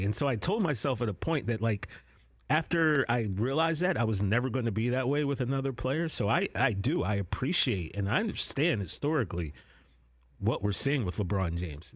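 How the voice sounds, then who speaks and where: A man's voice: mid-range voice, dry recording, studio quality. A man on a sports talk show speaking earnestly and personally, building to a play-by-play recap. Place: studio set. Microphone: desk broadcast mic.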